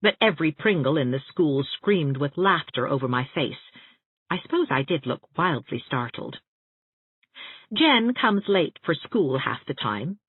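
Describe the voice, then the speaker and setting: A woman voicing a spirited, affectionate young schoolteacher in an audiobook of her letters, with an expressive, whimsical delivery. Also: sits low, slightly rough, full character voice. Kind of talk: audiobook reading of first-person letters. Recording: boxy room echo, muffled narrow-band sound.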